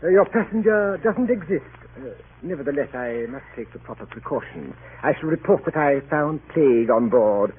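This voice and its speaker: An actor as a fussy, high-voiced consular official. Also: male voice, expressive, moderate pace, close to an everyday voice. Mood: officious. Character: fussy, evasive British consul, coolly bureaucratic. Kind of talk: 1940s radio acting. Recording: tinny, noisy old radio broadcast.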